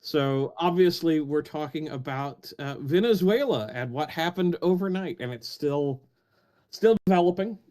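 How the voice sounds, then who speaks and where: A man's voice: fairly high pitch, lively intonation, moderate pace, warm tone. A man chatting casually on a podcast call, wry and joking. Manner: wry, joking, reads foreign text aloud with flair. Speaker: podcast regular.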